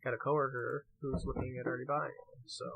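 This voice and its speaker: A male podcast co-host with a medium-pitched voice. He chats casually and jokes around, slipping into mock-serious banter and swearing freely as he goes. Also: level tone, fast talker, gravelly, slightly rough, narrow, tinny audio. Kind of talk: casual joking podcast chat.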